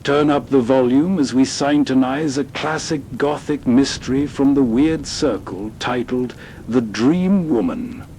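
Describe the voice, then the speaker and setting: A man's voice: mid-range voice, darkish tone, a little hiss or hum. A man hosting with atmospheric scripted narration, in a florid, inviting storyteller's way. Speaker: host and narrator.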